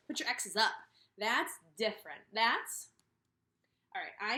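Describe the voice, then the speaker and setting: A woman in her thirties teaching and reading aloud to kindergarteners, expressive, with questions and emphatic pauses. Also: medium pitch. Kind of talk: instructional read-aloud for young children. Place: indoors, room with a brick wall.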